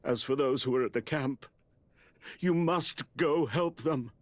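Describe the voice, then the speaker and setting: Game role: shaken injured male cleric, played by a man, halting delivery, very dry recording. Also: medium pitch, dry recording, lightly acted. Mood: anxious, urgent. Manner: halting, stammering. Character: weak, frightened wounded male theologian. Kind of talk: video game cutscene dialogue.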